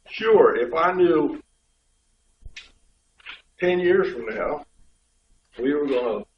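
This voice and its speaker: A man's voice: a little high, a little hiss or hum. Man with a head cold, distant and echoey. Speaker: state highway official. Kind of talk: explaining at a public board meeting. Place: community board meeting room. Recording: dull, echoey room pickup from far off.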